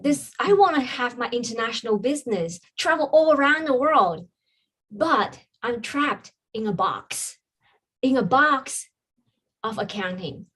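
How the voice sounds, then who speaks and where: A woman's voice: a little high, expressive, measured pace, a bit bright, slightly rough. Woman telling her story. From China. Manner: earnest, reflective storytelling with frequent ums. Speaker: podcast guest, business coach. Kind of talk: personal story in a podcast interview.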